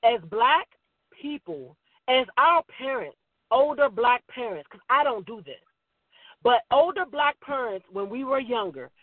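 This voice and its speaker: 30s dude hosting, real high voice, blunt, cusses a lot, sounds like a phone call. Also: male voice, animated, no room sound. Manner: blunt, swears freely, quick asides. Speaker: podcast host. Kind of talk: casual podcast chat. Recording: dry tinny phone-like audio.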